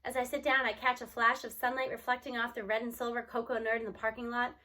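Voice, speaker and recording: Bright, high-voiced woman reading a story. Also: little pitch movement, fast. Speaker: reader of a children's novel. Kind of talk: expressive read-aloud storytelling.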